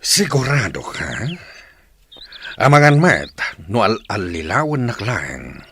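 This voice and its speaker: A man's voice: on the low side, big pitch swings, slow, fairly bright tone, slight background noise, full character voice. A man with an Ilocano accent playing a stern rebel mentor who drills a recruit in a radio drama. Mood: doubtful.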